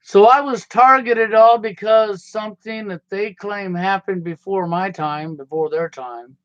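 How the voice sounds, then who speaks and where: A middle-aged man from the United States talks in a folksy, digressive monologue, his grammar loose and his delivery conversational. His voice sits a little high for a man. The recording is dry and a touch dull, with a lo-fi edge. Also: a little slow, fairly bright tone, no room sound. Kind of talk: rambling personal monologue. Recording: slightly dull, dry lo-fi sound.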